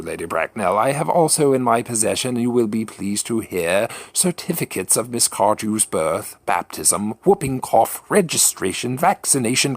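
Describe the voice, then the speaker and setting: A man playing a prim, icily polite English gentleman in a comic play reading, with deliberate, sardonic delivery. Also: fairly low pitch, creaky, husky, no echo, heavily acted.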